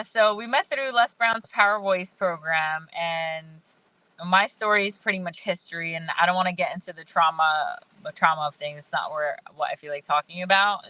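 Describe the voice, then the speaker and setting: A woman's voice: fairly low pitch, bright, forceful tone, no echo, low-quality recording. A woman whose first language is Hindi talks candidly and thoughtfully as a guest, laughing often, from outdoors in the rain. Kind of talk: casual video-call conversation.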